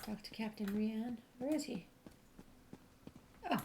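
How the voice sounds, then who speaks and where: A woman's voice: on the high side, a little slow, mellow, a little hiss or hum. A woman giving casual, wry commentary as she plays a video game, muttering reactions to what happens. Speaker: gamer narrating her playthrough. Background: video game ambience.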